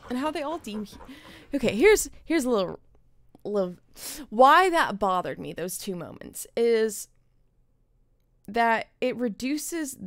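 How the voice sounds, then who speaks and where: A woman's voice: on the high side, sing-song, takes it slowly, dry recording. A young adult woman giving casual, opinionated commentary as a gaming streamer, speaking earnestly and emphatically with some swearing. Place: indoor streaming setup.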